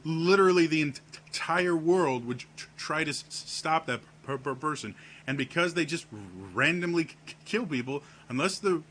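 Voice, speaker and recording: A man talking casually to camera on his vlog, with a deep voice and a heavy stutter that repeats the first sounds of words. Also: fairly bright tone, a little hiss or hum. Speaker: vlogger.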